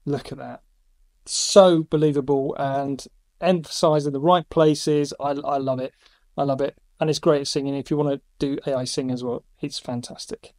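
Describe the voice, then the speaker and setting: A middle-aged man hosting a casual screen-recorded review, enthusiastic, with quick asides between demos. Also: mid-range voice, steady tone, a little mellow, dry recording, studio quality. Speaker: YouTube host reviewing AI voice tools.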